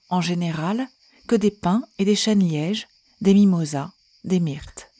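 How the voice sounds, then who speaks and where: A woman reading historical letters aloud in a measured, gentle way. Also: lowish voice. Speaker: reader.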